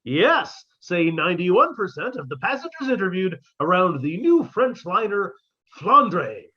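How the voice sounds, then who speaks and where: A performer voicing an upbeat 1950s radio advertisement announcer with an emphatic sales pitch. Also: very animated, a little slow, husky. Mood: salesy. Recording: dull lo-fi audio.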